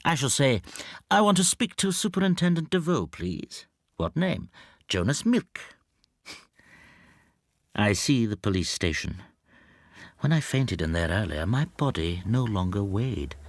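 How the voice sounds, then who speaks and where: A mild, wronged bookseller in a radio play, with a fairly low voice. He is lonely and despairing, turning his thoughts over haltingly, as if talking only to himself. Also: very animated, no room sound, clean studio sound. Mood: brooding, wistful. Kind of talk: radio drama acting with interior monologue.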